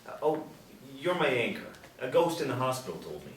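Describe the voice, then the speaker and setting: A male actor reads an excitable, bewildered young man in a TV drama script. His mid-pitched voice moves up and down freely, conversational and incredulous. The room echoes and the mic is a bit distant. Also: brisk, lightly acted. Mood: amazed, earnest. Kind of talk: table read of a TV pilot script. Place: small indoor room. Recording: roomy, distant mic, noisy ambience.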